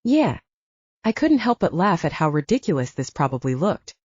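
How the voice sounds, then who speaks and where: A woman's voice: sits low, big pitch swings, fairly quick, a little mellow, slightly rough, no room sound, muffled recording. A woman narrating scripted first-person fiction as an audiobook reader.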